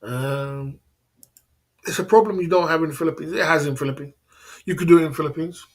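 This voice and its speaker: Middle-aged man, slightly high voice, brags and repeats himself on a livestream. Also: brisk. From England. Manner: repetitive, boastful, acts out dialogues. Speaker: livestream host. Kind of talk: casual livestream talk.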